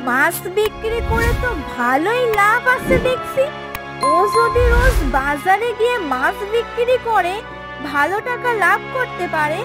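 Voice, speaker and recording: A woman's voice: very high voice, exaggerated voice. A woman voicing a nosy, envious, scheming village neighbour in a fairy tale cartoon, musing and self-assured.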